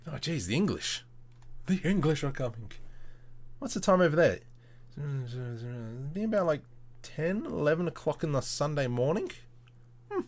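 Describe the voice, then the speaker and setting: A man's voice: fairly high pitch, very animated, unhurried, long pauses. A man hosting a casual livestream, thinking aloud as he works, with informal and playful muttering to himself. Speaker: livestream host demonstrating 3D modelling.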